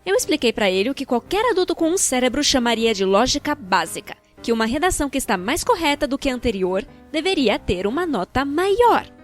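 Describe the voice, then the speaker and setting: A Brazilian woman with a fairly high voice narrates a personal story from a script. Her delivery is expressive and wry, with colloquial phrasing. Music plays behind her voice. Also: brisk, hardly any pauses. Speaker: narrator of a fan-dubbed animated storytime video. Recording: background music.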